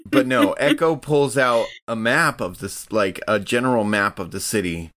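A man's voice: on the low side, moderate pace, a bit bright. A man casually narrating his character's actions in a tabletop game, in a loose, rambling way.

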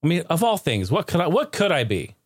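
A man hosting a podcast in casual comedic chat, talking in a jokey, excitable, informal way. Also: fairly high pitch, a little fast, no echo. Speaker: podcast host.

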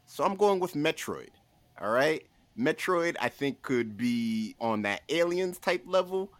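Emphatic, playful man, slightly high voice. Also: animated, a little slow, dry recording. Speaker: podcast guest. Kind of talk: casual comedic list pitch.

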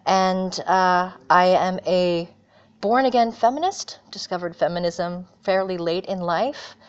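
A middle-aged woman with an American accent, speaking in a low voice. She answers earnestly and thoughtfully, pausing often and using fillers. The audio is dull and lo-fi. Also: clean tone. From California, USA. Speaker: guest. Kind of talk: reflective interview answers.

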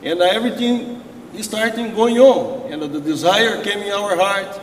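A man's voice: sits high, normal speed. A man whose first language is Portuguese, speaking as a pastor with a Portuguese accent in hesitant English full of "uh" fillers. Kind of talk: halting church testimony. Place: large church sanctuary. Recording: roomy echoing church hall, faint background noise.

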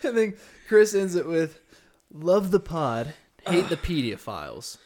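A man's voice: a little high, big pitch swings, a little slow, a little mellow. A young man co-hosting a podcast, reading listener emails aloud with playful, dry asides. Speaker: podcast co-host.

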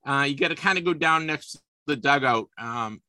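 A man in his fifties chats casually as a sports podcast host, and he often stumbles and repeats himself. His voice sits a little high and sounds bright and forceful. The recording is dry and close. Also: moderate pace, no room sound. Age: 50s. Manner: chatty, repeats words and restarts often.

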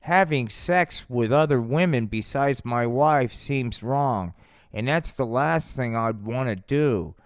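A man's voice: mid-range voice, slow pace, smooth, no room sound, studio quality. A man voicing a reluctant, pressured prophet puppet in a comic show, meek and golly-gee folksy.

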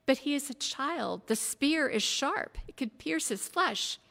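A woman voicing a proud, anxious warrior king who speaks loudly and insistently, heard in a reverberant hall. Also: sits high, expressive, measured pace, creaky, voice pushed up, exaggerated voice. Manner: loud, insistent, pleading. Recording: long reverb of a big hall.

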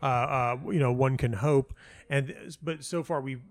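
A man speaking as an interview guest, telling personal anecdotes casually in a halting way, interrupting himself and repeating words for emphasis. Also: medium pitch, fairly even intonation. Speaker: guest, playwright and audio dramatist.

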